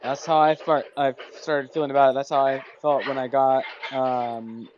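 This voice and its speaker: A man's voice: mid-range voice, level tone, moderate pace, noisy background. A man hosting a casual online audio chat, talking in a loose, rambling way with lots of fillers. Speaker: host. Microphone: remote call audio. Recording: dull lo-fi online call audio.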